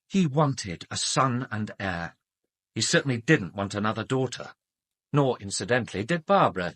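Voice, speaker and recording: An arrogant British doctor in a radio drama. His voice is husky and swings widely in pitch, with precise, emphatic phrasing and a haughty, self-justifying air. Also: a bit bright. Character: arrogant, self-justifying male doctor under police questioning.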